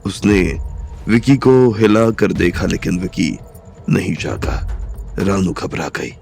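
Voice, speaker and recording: A man narrates an audiobook drama slowly and deliberately, his low voice full of gravel. Soft music plays behind him the whole time. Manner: slow, measured storytelling.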